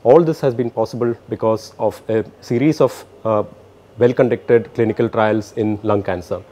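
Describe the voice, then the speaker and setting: A man's voice: fairly low pitch, fairly even intonation, moderate pace, mellow, a little hiss or hum. A middle-aged man with an Indian accent, a physician explaining things in an interview to camera. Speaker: cancer physician. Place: book-lined office indoors. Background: faint music.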